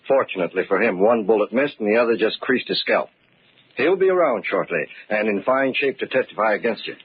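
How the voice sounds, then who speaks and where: A gruff skeptical police inspector. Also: male voice, fairly low pitch, animated, fairly quick. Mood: brusque. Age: middle-aged. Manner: brusque, no-nonsense questioning. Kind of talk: 1940s radio acting. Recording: muffled old radio recording with faint hiss.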